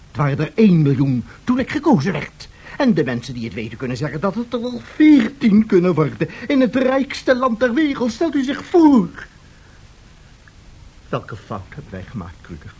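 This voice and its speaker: A man's voice: high voice, wildly swooping pitch, moderate pace, mellow, husky, heavily acted. A man acting a despairing, tearful American president in a Dutch radio drama, with theatrical, lamenting delivery. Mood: exasperated, despairing. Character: despairing, tearful American president, anxious about his image.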